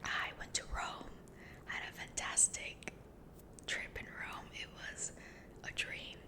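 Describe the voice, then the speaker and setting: A young woman whispering a rambling story close to the mic, softly repeating words to herself. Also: slow pace. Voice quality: unvoiced whisper.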